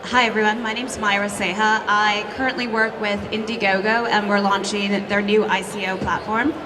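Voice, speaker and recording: A woman gives a career introduction as a panelist in an even, level melody. Her voice carries long reverb from a distant mic, with clear noise behind it. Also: mid-range voice, normal speed. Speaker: startup platform executive, panelist.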